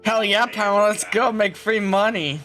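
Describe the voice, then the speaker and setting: A woman's voice: mid-range voice, fairly even intonation, moderate pace, over music. A woman streaming a video game, reacting casually with informal, sweary interjections.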